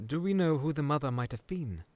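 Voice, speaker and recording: A man's voice: fairly high pitch, expressive, unhurried, a little mellow, no room sound. A man playing an earnest, studious researcher in a fantasy audio drama, with a hesitant, scholarly delivery. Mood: pensive.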